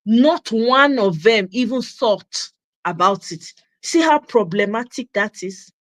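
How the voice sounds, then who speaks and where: Middle-aged Nigerian woman host with a Pidgin-tinged accent, passionate and emphatic, bright-pitched, dry recording. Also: expressive, takes it slowly, dry recording. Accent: Nigerian, with Pidgin phrases. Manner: emphatic, repeats her points for stress. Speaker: host of a commentary channel. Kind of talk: impassioned opinion monologue.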